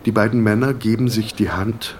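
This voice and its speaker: A man's voice: fairly low pitch, steady tone, normal speed, far from the mic, noisy background. A man narrating a literary audio drama from a script.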